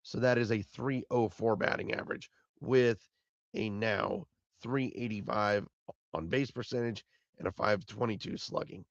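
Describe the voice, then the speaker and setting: Hoarse man, raspy gravelly voice, rambling recap, dry muffled sound. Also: fairly low pitch, unhurried, no echo. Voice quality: raspy, fading voice. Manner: rambling, repeats himself. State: hoarse from being sick. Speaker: podcast host. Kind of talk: solo postgame recap.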